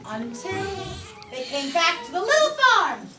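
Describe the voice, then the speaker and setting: A woman's voice: extremely high pitch, very animated, measured pace. A woman leading a kindergarten puppet show, telling the story in a theatrical, sing-song way and prompting the children to join in. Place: classroom. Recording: echoey classroom, far from the mic, music behind.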